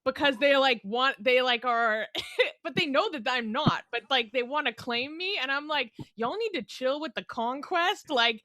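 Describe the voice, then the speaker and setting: A woman guest in a casual political discussion, lively and teasing, laughing often. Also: high-pitched, normal speed, dry recording.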